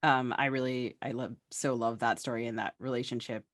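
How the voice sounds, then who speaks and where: A man interviews a writer as a podcast co-host, with a medium-pitched voice and a very dry sound. He talks in a discursive, conversational way, building appreciatively toward his questions. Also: little pitch movement, fairly quick, fairly bright tone, no echo.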